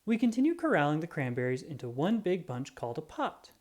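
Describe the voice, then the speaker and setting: Storybook reader, real bouncy and lively up and down, reading aloud for kids. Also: medium pitch, a little fast. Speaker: children's book reader. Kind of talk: reading a picture book aloud.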